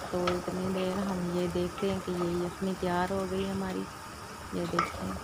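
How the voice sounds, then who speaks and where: A woman walks through a home cooking recipe step by step, often checking with a quick "theek hai". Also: fairly low pitch. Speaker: cooking channel host. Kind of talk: casual cooking demonstration. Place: home kitchen, over a stove. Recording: loud kitchen noise behind, sizzling frying.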